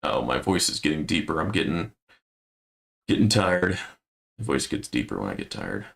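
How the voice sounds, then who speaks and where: Male streamer, deep gravelly voice, musing low-key play-by-play. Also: big pitch swings, normal speed, fairly bright tone. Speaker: game streamer. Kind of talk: casual gameplay commentary.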